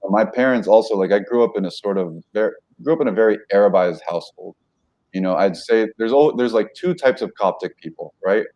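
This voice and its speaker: A man with a notably deep, low-pitched voice talks through his background as an interview guest. He rambles a little, stops to correct himself and leans on fillers as he goes. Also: fairly quick, highs cut off. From United States. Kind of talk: casual interview talk.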